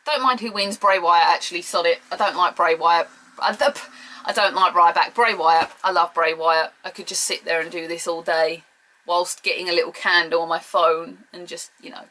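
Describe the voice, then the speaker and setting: Bright, excitable British woman vlogging. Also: lowish voice, moderate pace, low-quality recording. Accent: British English. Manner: excitable, chatty, playfully teasing. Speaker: wrestling preview vlogger. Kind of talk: casual opinionated chat to camera.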